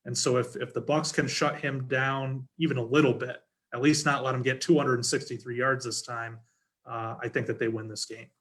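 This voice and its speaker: A man giving informal sports analysis as a panelist, in a halting way, repeating words as he builds his point. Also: medium pitch, flat delivery, fairly bright tone.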